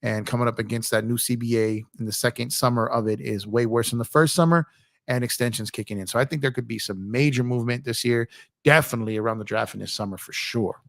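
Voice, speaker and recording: A man hosting a casual basketball livestream, a little hoarse from losing his voice, chatty and rambling as he talks to his viewers. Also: mid-range voice, quick pace, no echo, clean studio sound. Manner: chatty, rambling with restarts, speaks to chat viewers. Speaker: YouTube basketball streamer.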